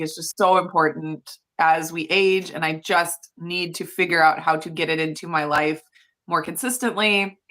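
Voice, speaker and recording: A woman's voice: fairly low pitch, fairly bright tone. A woman in her thirties chatting casually as co-host, talking loosely with plenty of fillers and frequent laughs. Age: 30s. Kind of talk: casual chat.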